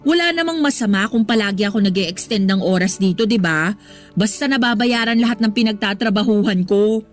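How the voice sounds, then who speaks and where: A woman's voice: mid-range voice, fairly quick, faint music behind, natural voice. A young woman with a Filipino accent playing a hardworking, evasive office employee in a radio drama. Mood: defensive, evasive.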